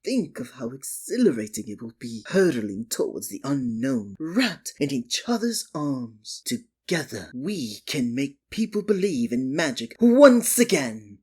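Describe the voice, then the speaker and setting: A performer plays an obsessive male stage magician, a possessive captor in an ASMR drama. The voice is breathy and unhurried, swinging widely in pitch. The delivery is theatrical and coaxing, sugary with endearments yet full of menace. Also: very animated, darkish tone, heavily acted. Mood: rapturous, obsessive. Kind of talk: ASMR roleplay, theatrical villain monologue.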